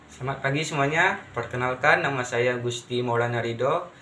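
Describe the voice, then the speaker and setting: A young male student, giving a prepared opinion in a halting, formal manner. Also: medium pitch, pushed and bright, a little hiss or hum. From West Kalimantan, Indonesia. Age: young adult. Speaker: university student interviewee. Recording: echoey room sound.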